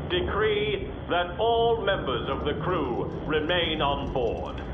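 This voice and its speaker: An actor playing a stern, authoritarian starship council leader, delivering a formal decree in a pompous, declamatory way. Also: male voice, fairly high pitch. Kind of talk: formal decree in acted audio log. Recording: phone-like, echoey, noisy chamber recording.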